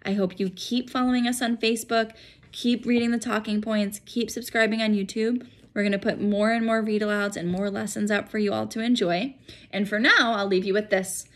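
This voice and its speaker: A woman's voice: mid-range voice, fairly even intonation, a little fast. An American woman in her thirties reading a storybook aloud as narrator. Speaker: school principal reading aloud. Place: small furnished room indoors. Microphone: phone or laptop at arm's length.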